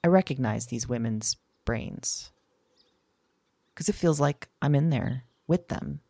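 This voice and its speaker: Actress as a husky, haunted introspective woman. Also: very deep voice, dark tone, dry recording, full character voice. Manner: halting, musing, confiding. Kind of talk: audio drama monologue.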